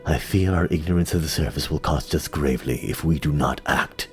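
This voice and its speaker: Man voicing a deep, brooding giant spider lord. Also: soft background music. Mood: grave, measured. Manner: slow, measured, brooding. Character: grave male giant spider lord commander. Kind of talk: fantasy audio drama acting.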